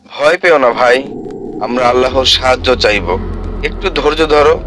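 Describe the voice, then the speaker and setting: A man playing a calm, devout young village friend in a cartoon, speaking in a steady, reassuring way. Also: medium pitch, normal speed, background noise, close to an everyday voice.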